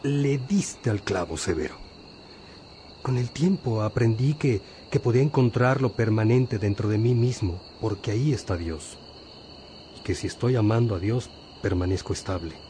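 A man's voice: fairly low pitch, normal speed, dark tone, a little hiss or hum, lightly acted. An actor playing a wise, patient older priest who teaches his disciples reflectively in a religious radio drama. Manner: reflective, didactic.

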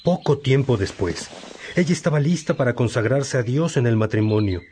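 A man playing a priest fondly and reflectively recalling his parents in a radio drama, speaking in a measured way. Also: medium pitch, expressive, a little mellow, natural voice. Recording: faint noise, birdsong ambience. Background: birdsong.

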